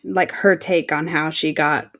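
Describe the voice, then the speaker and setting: A woman's voice: sits low, steady tone, a little slow, fairly bright tone. A woman co-hosting a podcast in casual chat about fiction. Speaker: podcast co-host.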